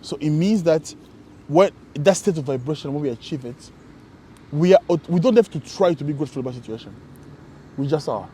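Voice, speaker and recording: Mellow-voiced man, reflective and halting. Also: a little high, expressive, slight background noise. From Congo. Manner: reflective, halting with repeated restarts. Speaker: co-host. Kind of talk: casual conversation.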